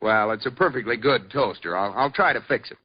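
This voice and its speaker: An actor playing a stubborn but good-natured husband in a 1940s radio comedy, with a sputtering, dry comic delivery. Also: male voice, mid-range voice, fairly quick, lightly acted. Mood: defensive. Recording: dull hissy old radio broadcast.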